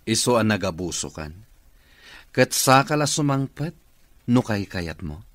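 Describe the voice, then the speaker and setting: A man's voice: fairly low pitch, very animated, unhurried, fairly bright tone, dry recording. A man with an Ilocano accent playing a stern, accusing office boss in a radio comedy drama. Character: stern accusing male office boss confronting corrupt staff.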